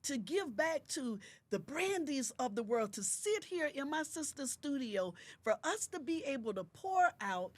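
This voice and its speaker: A woman's voice: high-pitched, sing-song, a little slow, hardly any pauses, dry recording. A woman in her fifties, a guest in a podcast studio, speaking in an emphatic, motivational way and repeating her affirmations like a preacher. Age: 50s. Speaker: guest, author. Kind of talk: motivational conversation.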